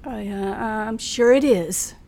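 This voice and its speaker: A woman's voice: medium pitch, takes it slowly, vocal fry, a little mellow. An actress playing a frightened, tense woman traveler with a dramatic, hesitant delivery in an old radio thriller. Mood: nervous pleading. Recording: faintly noisy old radio recording.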